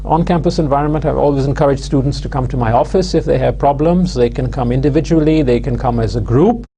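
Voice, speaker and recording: Measured man lecturing. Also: mid-range voice, dark tone, slight background noise. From London, England. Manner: measured, hesitant, many "uh" and "um" fillers. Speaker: university lecturer. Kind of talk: recorded video lecture. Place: studio with plain backdrop.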